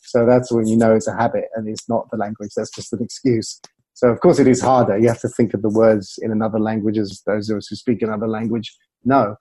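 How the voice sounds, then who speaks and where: A man's voice: lowish voice, level tone, quick pace, warm tone, slightly rough, rough recording. A man speaking as a communication coach in an interview, explaining things through anecdotes. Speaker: communication coach and former broadcaster.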